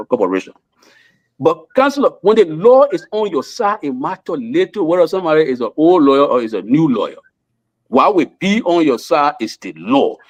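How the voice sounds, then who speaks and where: A man with a Liberian accent, a lawyer debating on a talk show, often repeating his words. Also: fairly high pitch. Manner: repeats words, courteous to seniors.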